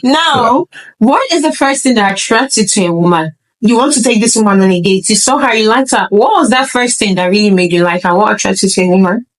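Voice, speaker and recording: A woman's voice: medium pitch, lively intonation, brisk, low-quality recording. A woman hosting a talk show in a studio, formally welcoming her guests and putting questions to them.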